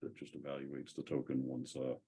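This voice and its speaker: A man with an extremely low voice full of creak, talking casually as host of a technical group call. He explains things step by step in an informal way. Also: monotone, fairly quick, mellow. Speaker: host of a software community call.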